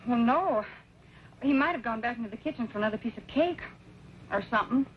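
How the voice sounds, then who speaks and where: An actress playing a helpful, quick-witted young woman in an old-time radio western. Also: on the high side, expressive, natural voice. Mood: feigned casualness. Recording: dull old radio recording, faint noise.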